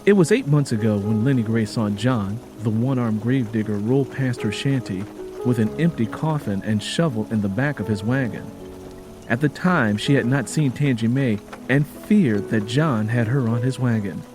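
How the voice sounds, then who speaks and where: A man narrating a scripted story dramatically, in a solemn and deliberate manner. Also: mid-range voice, music in the background.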